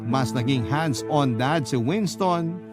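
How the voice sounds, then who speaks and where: A man's voice: mid-range voice, takes it slowly. A man narrating an emotive first-person story as the narrator of a radio drama. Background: background music.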